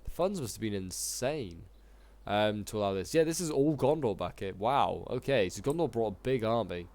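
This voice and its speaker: A man with a sore throat, slightly hoarse, giving casual, rambling commentary on a strategy game battle with lots of fillers. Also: lowish voice, lively intonation, moderate pace, darkish tone. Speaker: gaming YouTuber casting a multiplayer battle.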